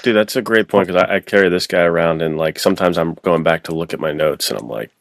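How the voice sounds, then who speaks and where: A man's voice: low-pitched, quick pace, vocal fry, no echo, studio quality. A man hosting a podcast in casual chat, with a slangy, buddy-like way of talking. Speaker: podcast host and writer.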